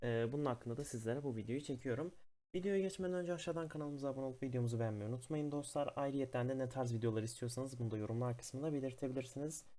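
A man presents a casual screen-recorded tech tutorial. His voice sits at an average male pitch. He goes step by step in a somewhat hesitant way, often pausing on fillers as he explains. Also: dark tone.

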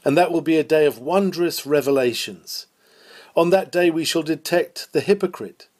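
A man's voice: fairly high pitch, moderate pace, darkish tone. Man solemnly reading an old-fashioned sermon. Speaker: reader of a historical sermon. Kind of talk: read-aloud sermon, scripted oratory.

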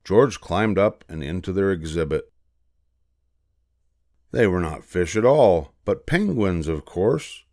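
An older man narrates a children's storybook in a low, deep voice. He takes his time, reading expressively and with measured care. The sound is close and dry. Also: lively intonation, mellow, dry recording.

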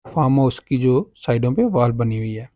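A man with a Pakistani Urdu accent, talking casually as he walks a property and points things out. His voice sits at a medium pitch. It is recorded close and dry on a handheld phone. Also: normal speed, no echo, highs cut off. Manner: casual guiding, filler-heavy, pointing things out. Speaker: property agent. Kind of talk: walking property tour. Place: outdoors on a farm property, walking.

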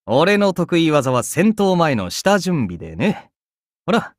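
Young game archer: roguish, wry, teasing slacker, casual drawl, animated intonation, dry studio sound. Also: male voice, medium pitch, no echo. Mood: weary, wry. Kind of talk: Japanese mobile game character voice lines.

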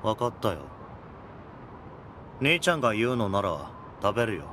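A man's voice: on the low side, very animated, moderate pace, sharp tone, slight background noise. A man voicing a childlike, sulky but eager younger brother, a humanlike machine in a Japanese game. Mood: resigned, hopeful. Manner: sulky, boyish, eager to please.

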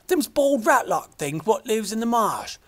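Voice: mid-range voice, sing-song, a little slow, voice pushed up. A reader voicing a tearful, simple old male shepherd who speaks in a rustic country dialect. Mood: storytelling.

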